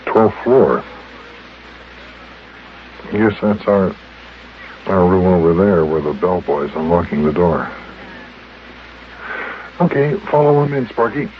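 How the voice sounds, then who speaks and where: A man playing a steady, kindly grown-up who calmly guides his companions in a children's radio serial. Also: sits low, slow, long pauses, slight background noise. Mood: mildly surprised. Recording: muffled old radio recording with music.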